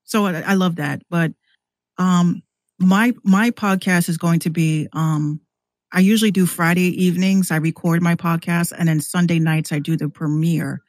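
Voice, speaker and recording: A woman's voice: on the low side, steady tone, normal speed, a little mellow, dry recording. A woman who streams and podcasts, chatting casually as a guest on a show.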